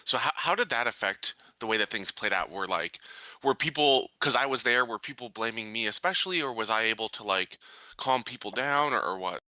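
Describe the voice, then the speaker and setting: A man casually asking questions as a player in a tabletop game, in a halting way. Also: mid-range voice, expressive, sharp tone, dry recording. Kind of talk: casual chat.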